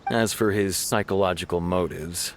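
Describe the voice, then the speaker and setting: An actor playing a stern, formal law officer in a fantasy video game, speaking in a measured way. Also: male voice, low voice, steady tone, slight background noise, natural voice. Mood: serious, explanatory. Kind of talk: video game character dialogue. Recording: music behind the voice.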